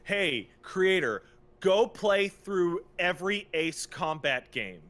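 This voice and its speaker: A man's voice: high voice, very animated, takes it slowly, a bit bright. A man co-hosting a podcast, talking casually and with opinions about games, stressing his points emphatically. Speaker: podcast co-host.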